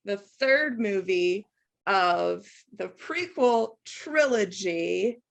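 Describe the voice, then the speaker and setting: A young woman co-hosting a casual reaction chat, chatty and animated, laughing often. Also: mid-range voice. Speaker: co-host.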